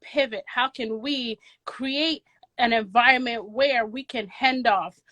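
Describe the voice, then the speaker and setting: A woman's voice: a little high, takes it slowly, bright, forceful tone, no room sound. A woman from Ghana in her thirties, a guest on a casual interview, speaking earnestly and repeating key words for emphasis. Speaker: guest, mortgage agent and credit coach.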